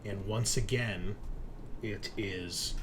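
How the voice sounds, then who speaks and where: A man's voice: fairly low pitch, steady tone, slow, noisy background. A man running a tabletop roleplaying game as game master, narrating action and ruling on dice rolls. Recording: music playing behind the voice. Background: music.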